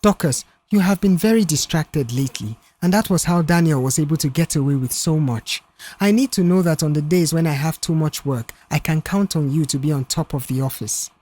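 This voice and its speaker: Narrator playing a young male mechanic, reserved, stern, measured, firm, audiobook drama. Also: mid-range voice, mellow, close to an everyday voice. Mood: firm, sympathetic.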